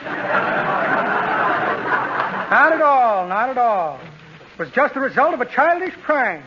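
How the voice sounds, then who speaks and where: A man's voice: extremely high pitch, big pitch swings, normal speed. An actor playing a pompous, blustering con man with florid, theatrical delivery in 1940s radio comedy. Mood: jovially pompous. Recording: noisy, muffled 1940s radio recording.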